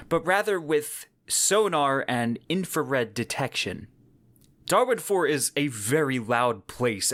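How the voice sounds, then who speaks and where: A man with a medium-pitched voice hosts a podcast solo, explaining things in a measured, semi-scripted way. He slips in personal asides as he goes. The sound is very dry, with no room echo. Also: fairly bright tone. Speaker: podcast host.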